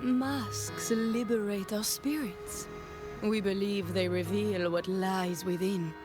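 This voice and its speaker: An actress playing a playful, theatrical madame of a mask shop in a video game, with genteel, flowery phrasing. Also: female voice, mid-range voice, steady tone, slow, music in the background, close to an everyday voice. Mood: mysterious, musing. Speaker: shopkeeper.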